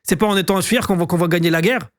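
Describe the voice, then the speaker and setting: A man in his thirties from France, co-hosting a podcast in loud joking banter, provocative and teasing, swearing a lot. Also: high voice, fast, dry recording. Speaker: podcast co-host.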